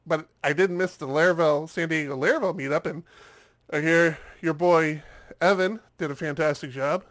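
A man's voice: a little high, fairly even intonation, moderate pace, a bit bright. A man co-hosting a podcast in casual chat, still congested from a cold and rambling, repeating words as he restarts. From United States. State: congested, getting over a cold. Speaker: podcast co-host.

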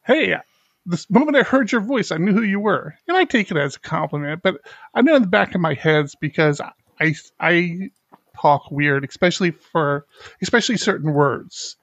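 A middle-aged man hosts a podcast, chatting candidly and haltingly, with a slight speech impediment and frequent restarts. His voice sits a little high, and the recording is dry and close, with no room sound. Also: animated, fairly bright tone, no room sound, lo-fi sound. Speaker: podcast host. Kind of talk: casual chat.

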